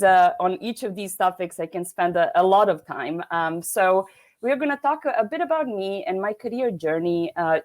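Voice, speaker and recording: A woman's voice: mid-range voice, a little mellow. A woman from Serbia giving an online keynote on a video call, speaking with many hesitations and restarts.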